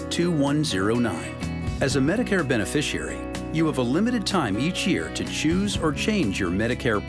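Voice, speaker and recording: An American man reading a scripted television commercial as an announcer. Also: medium pitch. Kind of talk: scripted TV ad read. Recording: music bed behind voice.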